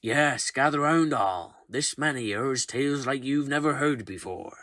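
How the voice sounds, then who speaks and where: An actor playing an eager tavern patron drumming up a crowd for tales in an audio play. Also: male voice, mid-range voice, measured pace, fairly bright tone, lightly acted.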